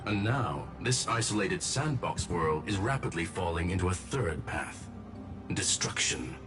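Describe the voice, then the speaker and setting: A man's voice: low-pitched, lively intonation, measured pace. A man voicing a composed, courtly robot genius for a video game, speaking formally and precisely. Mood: grave, measured. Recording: game music behind the voice.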